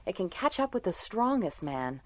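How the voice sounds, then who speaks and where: An actress playing a sweet, caring young girlfriend in a 1930s radio drama, chatting in a folksy, gently teasing way. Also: lowish voice, very animated, moderate pace, no room sound. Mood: tender.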